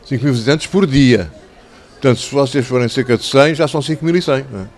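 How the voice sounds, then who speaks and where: An older man with a European Portuguese accent speaks to a group of children in the manner of a storyteller. His voice is mid-range and a little hoarse. He is conversational and instructive, often repeating a phrase to make it stick, and speaks into a handheld microphone. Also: medium pitch, quick pace. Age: 60s or older. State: slightly hoarse. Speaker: children's author. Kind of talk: talking and telling stories to schoolchildren. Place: covered outdoor tent in a town square.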